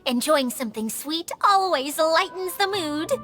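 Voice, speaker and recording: A woman's voice: high voice, expressive, a little slow, bright, forceful tone, heavily acted. A woman voicing a cheerful young female mascot in a video game, bubbly and upbeat. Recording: game cutscene with background music.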